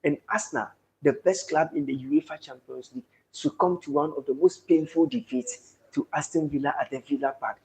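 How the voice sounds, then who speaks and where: A Ghanaian man with a slightly raised, husky voice hosts a football live stream. He showers viewers with thanks and greetings. He declaims the state of the league tables. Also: a little high, darkish tone, poor audio. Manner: declaiming sports talk. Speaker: live-stream sports host.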